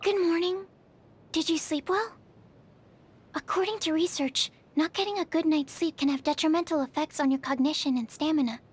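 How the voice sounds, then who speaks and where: A woman's voice: sits high, steady tone, moderate pace, natural voice. A woman voicing a shy, earnest, bookish young female alchemist in a video game, speaking politely and hesitantly. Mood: earnest, curious. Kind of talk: video game character voice lines.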